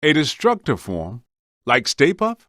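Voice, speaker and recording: A wisecracking ghost hunter dude for a game, grumbly streetwise type, super animated, dry studio sound. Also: male voice, a little high, unhurried, no room sound, heavily acted. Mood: wry, questioning. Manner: wry, streetwise, complaining. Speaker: video game voice actor. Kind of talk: scripted game voice lines.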